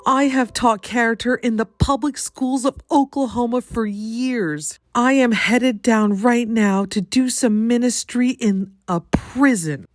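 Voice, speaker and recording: 여성이 연기하는 높은 어조로 간절히 사과하는 독실한 남편. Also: female voice, fairly high pitch, measured pace, hardly any pauses, no room sound, clean studio sound, voice pushed up, lightly acted. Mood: earnest pleading.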